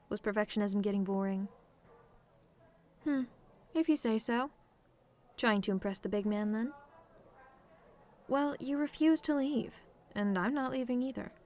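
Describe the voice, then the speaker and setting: A woman's voice: medium pitch, a little mellow, natural voice. A woman playing a sardonic, teasing tomboy demon in a scripted roleplay monologue, talking in a casual, taunting way straight to the listener. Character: sardonic tomboy female demon, relaxed, teasing, secretly fond of simple pleasures.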